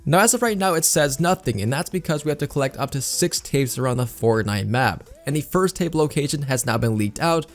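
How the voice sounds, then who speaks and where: A man's voice: medium pitch, expressive, fairly quick, hardly any pauses. A man hosting a gaming news video, explaining updates in YouTube commentary style with repeated emphasis words. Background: background music.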